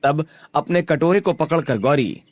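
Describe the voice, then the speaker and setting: Higher-pitched male story narrator. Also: expressive, measured pace, dry recording.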